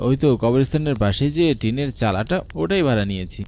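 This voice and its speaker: A man voicing a condescending, easily spooked investigating officer in a horror cartoon, with an expressive, dramatic delivery. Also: medium pitch, fast talker, a little hiss or hum, close to an everyday voice. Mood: curious.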